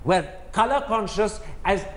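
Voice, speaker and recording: A fairly high male voice speaking on a TV debate panel, with faint noise behind him. He presses his point in a steady, lecturing way. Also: unhurried. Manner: insistent, lecturing.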